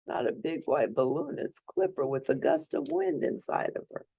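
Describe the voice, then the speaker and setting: Very animated woman reading a storybook, slightly creaky. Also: sits low. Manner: animated, pauses to point out pictures. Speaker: storyteller reading a children's picture book. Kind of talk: expressive read-aloud storytelling for young children.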